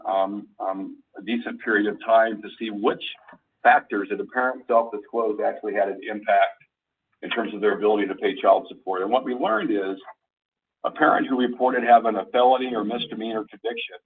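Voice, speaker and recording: A man giving an informal slide presentation over a conference call line, explaining things in a halting, deliberate way. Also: on the low side, slightly rough, recorded from a distance, phone-quality sound. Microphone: conference call phone line.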